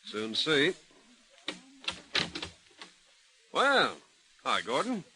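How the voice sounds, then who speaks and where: An actor playing a wisecracking, unsympathetic private-eye type in an old-time radio drama, clipped and mocking. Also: male voice, fairly high pitch, wildly swooping pitch, takes it slowly, exaggerated voice. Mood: wry, probing. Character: sardonic, hard-boiled male detective, unsympathetic and wisecracking. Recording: old radio recording, faint noise.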